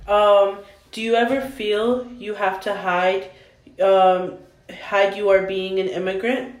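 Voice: medium pitch, level tone, slow. Hesitant young interviewer in an echoey room. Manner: hesitant, many ums. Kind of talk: casual interview questions.

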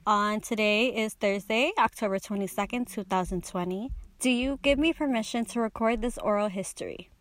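A woman's voice: medium pitch, normal speed, hardly any pauses. A woman conducting an oral history interview over a remote call, asking short plain questions. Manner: short plain questions, hesitant follow-ups. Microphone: remote video call.

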